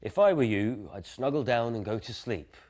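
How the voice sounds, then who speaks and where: A man's voice: mid-range voice, lively intonation, moderate pace, darkish tone, natural voice. Guy doing playful sleepy dad from a kids book, teasing, kinda boyish. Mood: gently teasing. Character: playful, sleepy father in a picture-book story.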